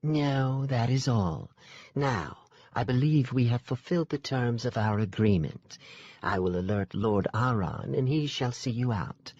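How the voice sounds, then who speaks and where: A man's voice: mid-range voice, measured pace, darkish tone, no echo, lo-fi sound, voice pushed up. A man voicing an elegant, stately royal fae sister who speaks formally.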